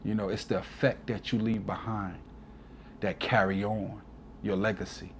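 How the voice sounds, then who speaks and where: A man's voice: fairly low pitch, flat delivery, normal speed, slight background noise. A man in his forties, a DJ guest on an interview, talking earnestly and from the heart, often adding "you know". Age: 40s. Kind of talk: casual reflective interview talk.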